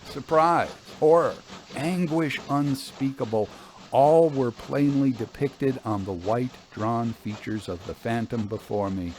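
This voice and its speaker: A man reading an old-fashioned ghost story in a deliberate, theatrical, suspenseful way, with dramatic pauses. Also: mid-range voice, a little mellow, a little hiss or hum. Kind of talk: dramatic audiobook narration of a Victorian ghost story.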